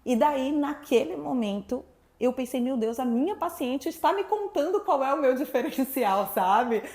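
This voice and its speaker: Chatty woman, fairly high voice. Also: normal speed. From Salvador, Brazil. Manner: very talkative, expressive storyteller. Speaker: guest, sexuality professional. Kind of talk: casual interview chat.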